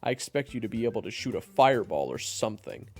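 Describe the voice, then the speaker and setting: A man's voice: lowish voice, very animated, fast, soft background music, voice pushed up. A man playing an abrasive, impatient monster hunter in an audio roleplay, blunt and sarcastic with a grudging protective streak. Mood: impatient, goading. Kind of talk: audio roleplay acting addressed to the listener.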